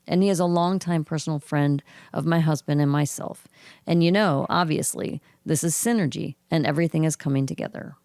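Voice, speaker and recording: Low, gravelly-voiced woman podcaster. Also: brisk, no echo, studio quality. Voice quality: froggy, gravelly voice. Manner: reads news items aloud at an even pace, with throat clearing. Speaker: podcast co-host reading cannabis news. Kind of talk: casual podcast news reading.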